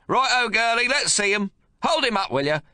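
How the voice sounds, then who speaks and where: Guy doing a loud coarse old grandpa, bossy and crude, pitch jumping all over. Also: big pitch swings, moderate pace, clear, resonant tone, no echo, heavily acted. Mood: bullying. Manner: coarse, bellowing, bullying.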